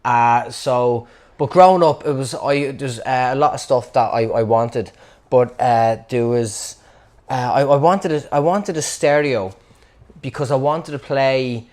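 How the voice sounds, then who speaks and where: A man's voice: mid-range voice, unhurried, a little mellow. A man from Dublin with a Dublin Irish accent, telling a funny childhood story in a wry, rambling, self-mocking way. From Dublin, Ireland. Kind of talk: casual comic storytelling.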